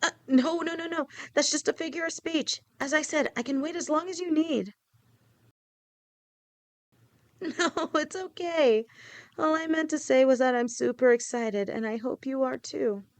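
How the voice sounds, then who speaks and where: A woman's voice: sits high, normal speed. A woman voicing a loving lamia fiancée. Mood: flustered. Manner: gentle, reassuring, affectionate. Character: loving female lamia fiancée, protective and reassuring. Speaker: voice actor. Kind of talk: audio roleplay.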